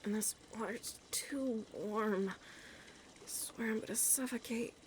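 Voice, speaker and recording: A woman voicing a young, anxious but stubborn mermaid in an ASMR audio roleplay, murmuring haltingly to herself. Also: a little high, lightly acted, rough recording. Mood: weary, struggling. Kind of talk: ASMR audio roleplay, breathy dramatic acting. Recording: clear background ambient noise.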